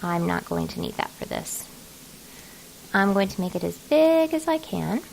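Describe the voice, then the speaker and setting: A woman narrating an informal software tutorial, talking casually and thinking aloud as she works. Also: mid-range voice, sing-song, normal speed, gravelly, slight background noise, rough recording. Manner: casual, folksy, thinking aloud while working. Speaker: craft design software tutorial host.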